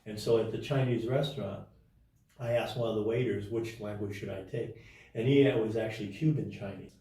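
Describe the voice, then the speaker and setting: A man telling his life story as an interview guest in a casual, halting way, with many restarts and fillers. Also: on the low side, dark tone, heavy reverb, distant mic.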